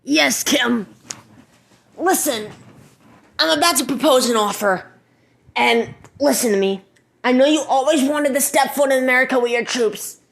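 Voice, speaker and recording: A woman playing an impatient male American president in an amateur radio-style drama, with a creaky voice. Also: sits high, normal speed, pauses often, voice pushed up. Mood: urgent, pleading.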